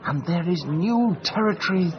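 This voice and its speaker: An actor playing a pompous, grandiloquent English gentleman adventurer with an English accent, in a radio drama. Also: high-pitched, lively intonation, normal speed, lo-fi sound. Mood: grandly self-important. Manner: grandiloquent, declamatory.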